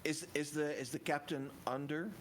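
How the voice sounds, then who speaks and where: A man's voice: fairly high pitch, fairly even intonation, moderate pace, slight background noise. A man chatting casually as a player in a tabletop role-playing game.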